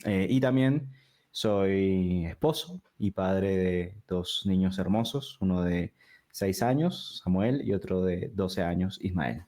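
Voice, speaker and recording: A fairly low-voiced man in his thirties, born in Peru, speaking with a River Plate Spanish accent. He talks casually and at length as an interview guest, often restarting and filling pauses as he goes. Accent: Rioplatense Spanish. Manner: relaxed and talkative, with frequent fillers and restarts. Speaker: guest, digital product designer.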